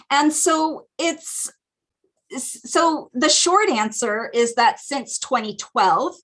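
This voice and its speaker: An American woman lecturing over a video call, her voice sitting a little high for a woman. She explains things in a thoughtful, academic way and pauses often on ums. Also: fairly bright tone. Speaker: guest lecturer. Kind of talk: academic lecture at a community teach-in.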